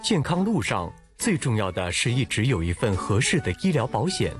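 A man voicing a radio advertisement with bright, persuasive announcer delivery. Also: mid-range voice, very animated, fairly quick, faint music behind.

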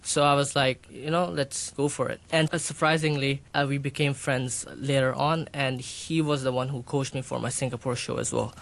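A man's voice: mid-range voice, steady tone, moderate pace, creaky, few pauses, a bit bright. A man, a bodybuilder and personal trainer raised in Hong Kong, answering interview questions in a loose, rambling way with many fillers.

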